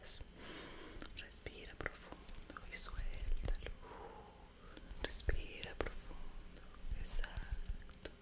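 A woman in her twenties whispering breathily as a soothing ASMR meditation guide, very close to a desk microphone. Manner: slow, soothing, instructive. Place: small bedroom, indoors. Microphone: desk condenser microphone, very close.

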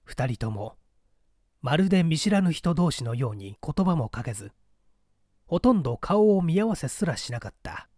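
A man reading a mystery story as a scripted, dramatic narration in a deliberate, theatrical storytelling manner. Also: fairly high pitch, sing-song, a little mellow, no room sound, clean studio sound. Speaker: audiobook narrator.

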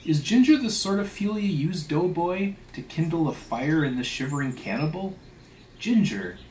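A man's voice: mid-range voice, big pitch swings, normal speed, echoey room, recorded from a distance, a little hiss or hum, natural voice, low-quality recording. A man reading a storybook sea tale as an indignant, sarcastic ship's mate who scolds in emphatic, mock-polite rhetorical questions. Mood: sarcastic outrage. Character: indignant, sarcastic male whaling second mate, suspicious and blustering. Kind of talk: dramatic reading of a classic sea novel.

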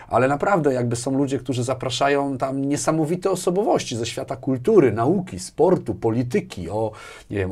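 A middle-aged man talking casually as an interview guest, often repeating words as he thinks, on a lapel mic in a small studio. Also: mid-range voice. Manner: animated hand gestures, repeats words as he thinks. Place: small furnished indoor studio.

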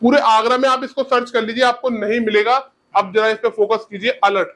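A man's voice: level tone, a bit bright. Young man with a lapel mic. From India. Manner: fast sales pitch. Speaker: shoe seller. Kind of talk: product showcase. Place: shoe showroom.

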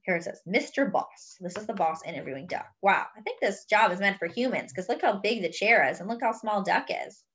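A woman reading a children's picture book aloud expressively, pausing to explain words and pictures as if to young children. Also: mid-range voice, a bit bright, highs cut off. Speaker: host of a children's read-aloud.

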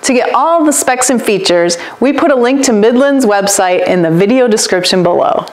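A woman's voice: fairly high pitch, lively intonation, moderate pace, roomy sound, soft background music, poor audio. A woman reading a scripted promotional voice-over. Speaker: narrator of a product plug.

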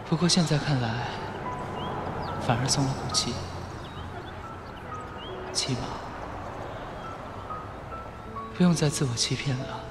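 A man acting a heartbroken, weary, gentle young man in a Mandarin audio drama, restrained and wistful. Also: medium pitch, close to an everyday voice. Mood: sad resignation. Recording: music behind the voice.

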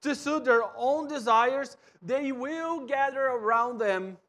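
A Brazilian pastor in his thirties preaches in English with a strong Brazilian Portuguese accent. His voice sits very high for a man. He moves about a church stage, sometimes quiet and sometimes loud. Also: takes it slowly. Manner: animated, wide gestures, swings from soft to loud. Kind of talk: sermon. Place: church stage with cross backdrop and bookshelves.

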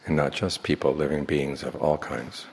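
A man speaking as a Buddhist monk, leading a guided meditation in slow, measured phrases with long pauses. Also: very deep voice, steady tone, gravelly, slight background noise. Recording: long hall reverb.

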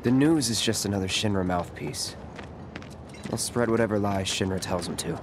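An actor with an American accent playing an aloof young mercenary in a video game, terse, deadpan and detached. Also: male voice, fairly low pitch, moderate pace, background noise, natural voice. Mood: cool, reserved.

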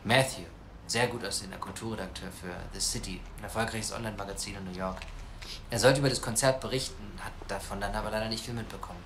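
An actor playing a charming, romantic journalist in an audio drama, easygoing and slightly boastful. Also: male voice, fairly low pitch, fairly even intonation, quick pace, fairly bright tone, a little hiss or hum. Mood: reminiscing. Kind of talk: naturalistic audio drama acting.

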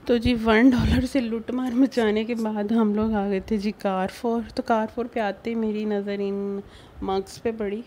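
A woman's voice: on the high side, flat delivery, unhurried, over music. A woman with a Pakistani accent narrating a casual shopping vlog in a chatty way. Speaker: vlogger.

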